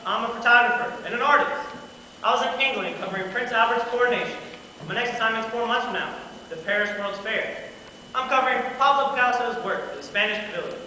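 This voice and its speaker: Young actor as an eager, high-voiced newspaperman, echoey hall. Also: fast talker, pushed and bright, full character voice. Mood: enthusiastic, admiring. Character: eager, chatty American newspaper photographer and artist, a man. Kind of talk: amateur stage play acting. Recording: echoey hall from far off, faint noise.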